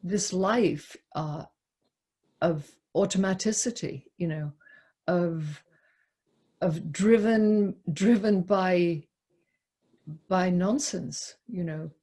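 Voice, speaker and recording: A woman's voice: lowish voice, very slow, pauses often, warm tone, husky. A woman leading an unscripted literary discussion, hesitant and searching for her words. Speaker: discussion leader.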